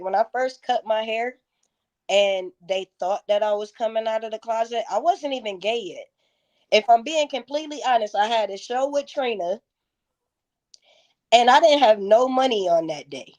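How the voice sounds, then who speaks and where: A woman's voice: mid-range voice, darkish tone. A woman in her thirties telling stories candidly in a casual interview, with slangy, profane, emphatic phrasing. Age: 30s. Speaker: interview guest, music performer.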